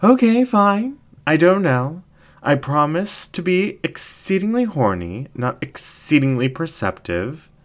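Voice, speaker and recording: A man voicing a seductive, haughty fox incubus ringmaster in a story, with a drawn-out, theatrical, teasing delivery. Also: fairly high pitch, sing-song, voice pushed up. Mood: flustered, haughty.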